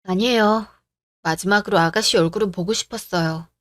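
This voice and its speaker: A woman voicing a calm, firm young woman who argues in a reasoned way in a story radio drama. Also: medium pitch, a little fast, no echo, clean studio sound, natural voice. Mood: gentle.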